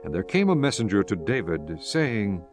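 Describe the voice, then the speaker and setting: A man giving scripted Bible narration in a measured, solemn manner. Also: lowish voice, sing-song, over music.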